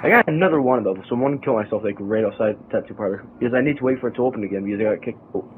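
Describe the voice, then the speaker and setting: A young man chatting casually while playing an online game, explaining a glitch to his friends over headset voice chat. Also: on the low side. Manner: fast, bossy, explaining a glitch. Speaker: gamer. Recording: tinny phone-like voice chat with faint noise.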